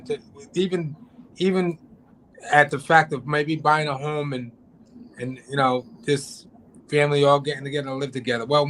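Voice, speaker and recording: A man's voice: a little high, a bit bright, slight background noise, rough recording. A man talking as a guest on a live drone show, rambling with many restarts and fillers. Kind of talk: casual chat on a live show. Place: home, cold region.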